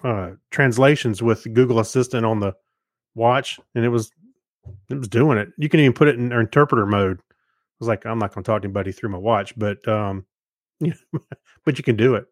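A man's voice: mid-range voice, brisk, no room sound. Rambling middle-aged man, close dry sound. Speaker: podcast co-host. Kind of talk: casual chat.

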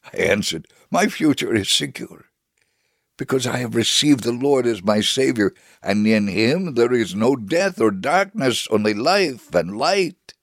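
A man giving a dramatic reading as a devout Holocaust survivor telling his story, measured, solemn and earnest. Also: medium pitch, animated, no room sound, clean studio sound. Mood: solemn, assured. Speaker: dramatic reader. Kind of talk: dramatic first-person memoir reading.

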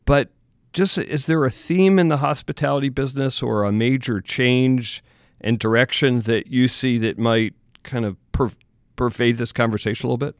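A man hosting a podcast, asking interview questions in a measured, thoughtful way. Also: medium pitch, no room sound, studio quality. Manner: measured, thoughtful, asks at length.

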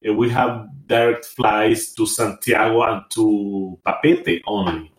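Man from Rapa Nui, lowish voice, halting and thoughtful, roomy sound. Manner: hesitant, thoughtful, many fillers and restarts. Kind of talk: conversational interview.

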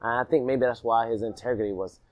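A man from Alabama who grew up partly in Jamaica, telling his story as a podcast guest in a reflective, animated way. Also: mid-range voice, quick pace, highs cut off. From Alabama, raised partly in Jamaica. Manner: reflective, animated, rhythmic repetition. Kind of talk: casual storytelling in a podcast interview.

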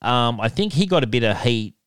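A man's voice: mid-range voice, lively intonation, a little fast, clean tone, no room sound. A man with an openly nasal voice reads listener emails aloud and chuckles often. The sound is dry and close, with no room around it. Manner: laughs often, chuckling between remarks. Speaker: podcast co-host reading listener emails. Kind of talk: casual chat.

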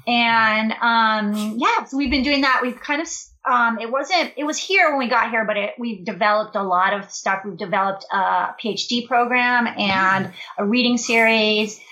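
Woman, bright forceful voice, casual rambling talk, lots of ums and restarts. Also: fairly high pitch, normal speed, few pauses, reverberant, low-quality recording. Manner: rambling, many fillers and restarts. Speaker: writer and creative writing program co-director. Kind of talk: casual conversational interview.

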